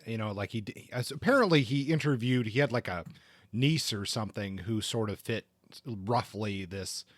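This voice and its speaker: A middle-aged man co-hosting a book podcast, talking casually in a halting, hesitant way with measured judgments. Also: lowish voice, no echo.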